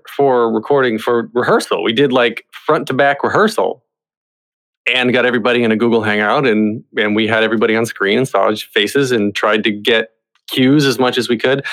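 Man interviewing in a halting, conversational way. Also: mid-range voice, a bit bright. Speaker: podcast host and interviewer.

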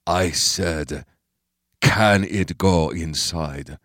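A man voicing a terse, menacing old man, low-pitched. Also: sing-song, unhurried, dry recording, clean studio sound. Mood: sharp, commanding. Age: older.

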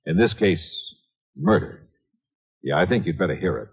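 Tinny old radio show, wry hard-boiled detective, husky voice, punchy and up n down. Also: male voice, deep voice, lively intonation, moderate pace, full character voice. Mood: brisk, wry. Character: sharp, wry, no-nonsense male insurance investigator. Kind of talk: 1950s radio detective drama acting. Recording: tinny, narrow-band 1950s radio recording.

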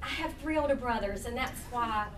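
A woman's voice: fairly high pitch, a little fast. An American woman humorist reading her writing live to an audience, with folksy, playful storytelling and chatty asides. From Huntington, West Virginia, United States. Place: indoor live reading event. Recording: echoey room, distant mic, faint noise.

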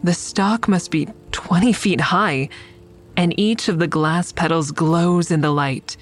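An actress playing a reflective, tender woman who narrates an audio drama in measured, descriptive first person. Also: on the low side, faint music behind. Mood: admiring, awed. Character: reflective woman admiring a friend's mural, tender. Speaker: narrator and lead character.

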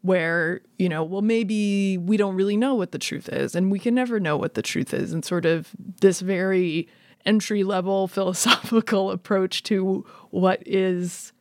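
A woman's voice: mid-range voice, unhurried, a little mellow, no room sound. A woman hosting an interview in a conversational way, commenting and putting questions to her guest. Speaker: podcast interviewer, host.

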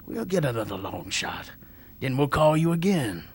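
A man playing a weary old male jockey who talks slowly and drops into hushed whispers. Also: medium pitch, big pitch swings, slightly rough. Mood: tender, wise. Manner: slow, dropping to hushed whispers.

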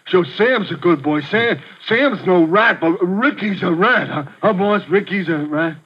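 Slow, stuttering ex-fighter with a childlike mind; 1940s radio drama, lo-fi. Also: male voice, high-pitched, slightly rough. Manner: stammering, simple, childlike speech. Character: punch-drunk, slow-witted male ex-boxer trainer. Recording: muffled old radio recording.

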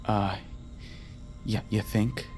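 An actor playing an urgent, duty-driven agent with a firm, clipped manner in an audio drama. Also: male voice, fairly low pitch, flat delivery, drawn-out words, music in the background. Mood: tense, impatient. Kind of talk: naturalistic audio drama acting.

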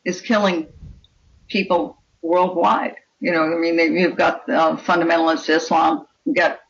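A woman's voice: on the low side, fairly even intonation, unhurried. A woman author giving discursive, erudite, explanatory answers as a guest in an interview. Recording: dull lo-fi audio.

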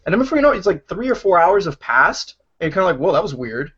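A man's voice: sits high, big pitch swings, quick pace, fairly bright tone, husky. A man co-hosting a casual podcast, reviewing games in a rambling way, with lots of "like" and "you know". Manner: rambling, lots of fillers and restarts, laughs easily. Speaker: podcast co-host, game reviewer. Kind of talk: casual chat. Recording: dull lo-fi podcast audio.

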